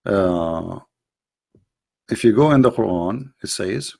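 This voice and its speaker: A man's voice: on the low side, lively intonation, takes it slowly, creaky, darkish tone. A middle-aged man hosting a live stream, lecturing emphatically on religion in non-native English with frequent restarts and reading out viewer questions.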